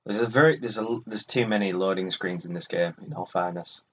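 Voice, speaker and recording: A man's voice: sits low, fairly quick, a bit bright, lo-fi sound, a little hiss or hum. A man giving casual, sardonic and grumbling commentary while playing a video game. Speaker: gaming video host. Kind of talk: casual let's play commentary.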